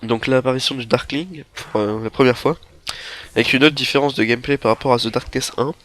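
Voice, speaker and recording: A young man with a stuffy nose from a cold, giving rambling, halting gameplay commentary into a headset mic. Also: mid-range voice, a bit bright. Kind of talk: game walkthrough commentary. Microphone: headset microphone.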